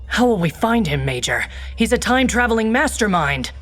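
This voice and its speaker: Level-headed female agent, breathy husky voice, dry and skeptical, video game cutscene, faint music behind. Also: a little high, very animated, fairly quick, fairly bright tone. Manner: dry, businesslike.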